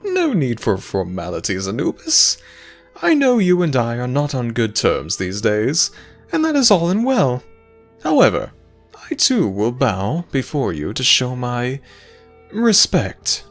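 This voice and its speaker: A man acts out a courtly, self-satisfied god whose pitch swings dramatically. He talks with sly, theatrical formality in an audio roleplay, with light music behind him. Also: huge pitch swings, measured pace, exaggerated voice. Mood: smooth, teasing. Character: Osiris, smug, courtly male god. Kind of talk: ASMR audio roleplay acting.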